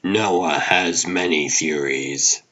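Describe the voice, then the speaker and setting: A man playing a brooding, world-weary vigilante detective, narrating his own noir story in a grim, terse, deliberate way for a comic dub. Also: very deep voice, bright, forceful tone, highs cut off, heavily acted. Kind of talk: dramatic first-person noir scripted narration.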